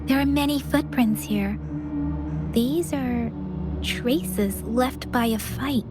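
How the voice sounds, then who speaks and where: Gentle young female game scout: high voice, unhurried, earnest, perceptive, music underneath. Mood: investigating. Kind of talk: video game voice acting. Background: background music.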